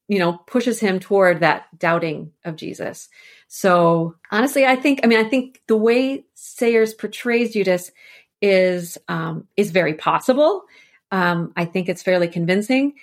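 A woman with a slightly low voice talks as an interview guest. She explains a literary work conversationally, thinking things through and showing real enthusiasm for the subject. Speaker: interview guest, author and editor. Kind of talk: conversational literary discussion on a podcast.

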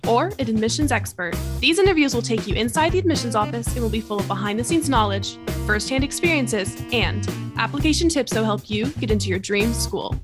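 A woman hosting a podcast, reading a polished intro and asking interview questions. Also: on the high side. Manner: brisk, polished delivery. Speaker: podcast host.

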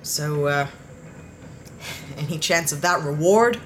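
A woman's voice: deep voice, a bit bright, slight background noise. A woman playing an anxious, awkward human space traveller in a sci-fi audio drama, with a hesitant, naturalistic delivery. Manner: hesitant, flustered, halting.

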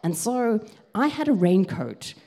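A young woman with a South African accent, preaching and telling a personal story in a lively way, with frequent ums. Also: mid-range voice, a little slow. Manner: lively storytelling, gets excited, lots of ums.

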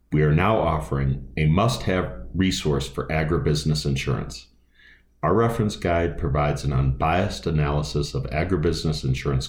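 A man reading a formal, scripted podcast introduction in a measured announcer delivery. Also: a bass voice, a little mellow, reverberant. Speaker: podcast host.

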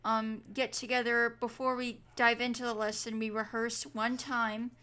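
A young woman co-hosting a podcast, chatting casually and excitedly, saying "like" a lot. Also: a little high, moderate pace. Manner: excitable, frequent "like". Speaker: podcast co-host.

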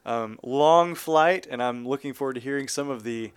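A man's voice: mid-range voice, normal speed, clean tone, no echo. A man hosting a church podcast in an easygoing, folksy way with some light teasing. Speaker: podcast host.

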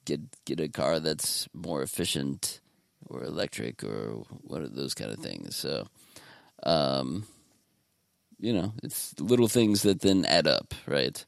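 A man's voice: very deep voice, animated, slow, creaky, no room sound, studio quality. A man hosting a podcast in casual chat, talking in a laid-back, meandering way. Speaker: podcast host.

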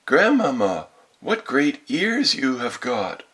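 A man's voice: medium pitch, big pitch swings, normal speed, fairly bright tone, breathy edge, voice pushed up. A man voicing a sweet, naive little village girl in a storybook tale, with an artless, sing-song delivery. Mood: amazed.

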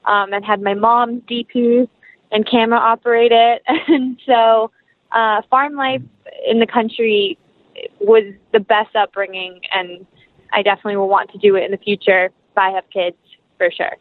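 A woman's voice: a little high, steady tone, moderate pace, dry recording, narrow, tinny audio. A young American woman chatting enthusiastically and casually as an interview guest. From North Carolina, United States.

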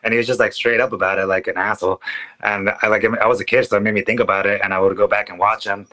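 A man's voice: fairly low pitch, level tone, fast, sharp tone, low-quality recording. A man whose first language is Spanish, chatting in a laid-back, agreeable way as a guest. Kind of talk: casual chat.

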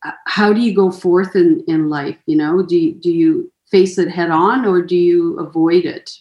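A German-born woman in her fifties speaks in a lowish voice, pausing and restarting often as she works through her thoughts. Her first language is German. The sound carries the echo of the room she is in. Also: fairly even intonation, darkish tone, muffled recording. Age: 50s. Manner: hesitant, many restarts and fillers. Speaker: author guest. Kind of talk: conversational interview.

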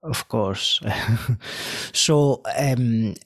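A middle-aged man from Greece, a dentist and podcaster, answering interview questions reflectively and searching for words with many pauses and fillers. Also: mid-range voice, a little hiss or hum. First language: Greek.

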